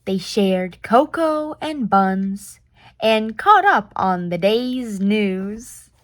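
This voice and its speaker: A woman reading a children's picture book aloud in an expressive, deliberate way with playful reactions. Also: mid-range voice, no echo, clean studio sound.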